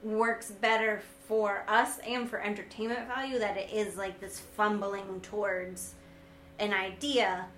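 A woman with a bright, sweet, high voice chats reflectively as a vlog co-host. She sounds gentle even when being wry and self-deprecating. The room is echoey, with faint noise behind her. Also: unhurried. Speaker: vlogger and co-host discussing their video series. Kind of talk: casual reflective conversation.

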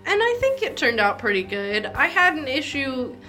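A young woman with a fairly high voice hosts a casual podcast. She talks informally, swears freely and giggles easily, with music behind her. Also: big pitch swings, moderate pace, sharp tone. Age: young adult. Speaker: podcast host. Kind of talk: casual chat.

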